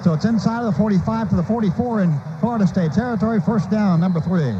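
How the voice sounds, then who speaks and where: A man's voice: sits high, fast talker. An American man calling a live football game on the radio in clipped, urgent, staccato phrases. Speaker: radio play-by-play announcer. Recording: noisy, dull lo-fi radio broadcast.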